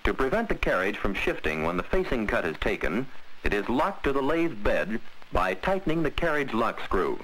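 An American man reading a scripted 1940s instructional film narration in a measured, precise, instructive way. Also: medium pitch, fairly bright tone, low-quality recording. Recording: old 1940s film soundtrack, faint hiss.

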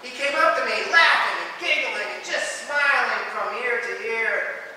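Echoey, high-pitched older male preacher. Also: lively intonation, bright, forceful tone. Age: older, 60s or above. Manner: emphatic, theatrical delivery with dramatic pauses and raised exclamations. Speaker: pastor preaching. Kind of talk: animated church sermon. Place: large stone church, at a pulpit. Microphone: gooseneck pulpit microphone. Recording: echoey church hall, distant mic, faint noise.

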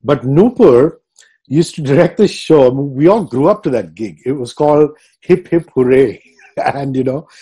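Mellow-voiced radio host on a video call. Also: male voice, mid-range voice, expressive, a little slow, breathy edge. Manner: rambling questions with restarts. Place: home office, indoors.

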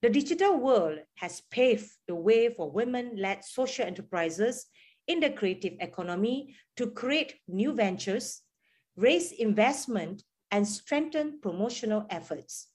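A middle-aged woman heading a foundation, giving a measured, formal prepared speech over a webcam video call from a room at home. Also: on the high side, expressive, darkish tone. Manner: measured, reads formally with some restarts.

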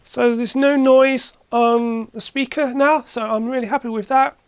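A hobbyist host giving a casual hands-on demonstration, halting and matter-of-fact, thinking aloud while working. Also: medium pitch, fairly even intonation, smooth, no room sound. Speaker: host, retro computer hobbyist. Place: indoor cluttered workshop.

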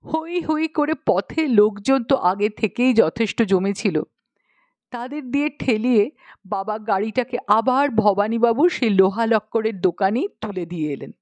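A woman's voice: fairly high pitch, lively intonation, normal speed, darkish tone, no room sound. A woman narrating a humorous story expressively, acting out the dialogue. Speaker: audiobook narrator.

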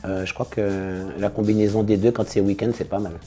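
A man in his fifties, a singer and DJ, answering interview questions in a relaxed, casual way, full of slangy shout-outs. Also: on the low side, a little fast, over loud music. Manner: relaxed, laid-back, with slangy big-ups. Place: studio in front of blue backdrop.

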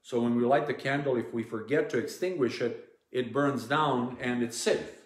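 A Serbian-accented male priest in his forties speaks earnestly and plainly to his flock, pausing often on ums and restarting his sentences. His voice sits at a medium pitch. A hard living room adds a noticeable echo around him. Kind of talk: informal teaching to parishioners. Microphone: device camera at close range. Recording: echoey room.

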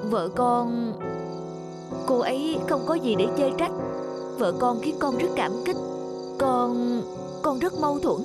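A woman's voice: on the high side, lively intonation, takes it slowly, long pauses, loud music behind the voice. A woman voicing a troubled married businessman in a cartoon, earnest and hesitant. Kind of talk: scripted cartoon dialogue.

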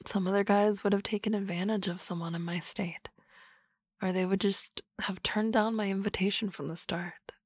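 A woman playing a teasing, confident office boss in a soft, close, whispered ASMR roleplay. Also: medium pitch, fairly even intonation, normal speed, breathy edge, no echo.

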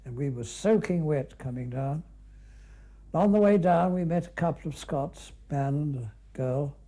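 An older British man recalls an expedition as he comments on a film. His voice is very soft-edged and dark, set a little high for a man. He talks conversationally, without a script, dropping in short musing asides. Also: a little slow.

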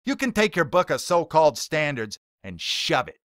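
A man's voice: a little high, very animated, moderate pace, no echo, studio quality, pitched above the performer's own voice. Man doing a ranting, scornful heckler. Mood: angry. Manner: ranting, sharp and accusing. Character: hostile young male heckler, bold and scornful.

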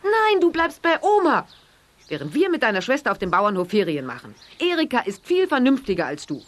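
A woman's voice: fairly high pitch, huge pitch swings, a little fast. An actress playing a strict, easily exasperated mother in a children's radio play, scolding and overbearing.

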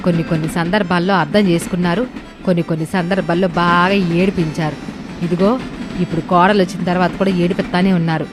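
A woman's voice: on the low side, fairly even intonation, quick pace, over music, close to an everyday voice. Woman playing a bitter, sarcastic mother-in-law. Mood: grumbling. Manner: complaining, sarcastic. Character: bitter, sarcastic middle-aged mother-in-law, stubborn and grumbling. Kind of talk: animated moral story voice acting.

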